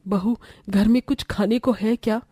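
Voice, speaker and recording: Woman doing a snooty rich mother-in-law for a cartoon, bossy, mellow low-edged tone, dry recording. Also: steady tone, normal speed, breathy edge, no room sound, lightly acted. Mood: hungry, plaintive. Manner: bossy, scornful. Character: haughty, scolding rich mother-in-law, wasteful, middle-aged.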